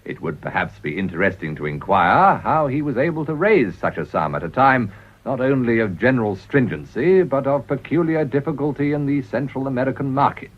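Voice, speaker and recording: Male actor as breezy British tycoon, sardonic, self-assured, very animated pitch, period radio drama, dull lo-fi sound. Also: a little fast, lightly acted. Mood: sardonic, unruffled. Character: brazen, unflappable financier and politician, smooth-talking rogue. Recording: dull lo-fi radio broadcast recording.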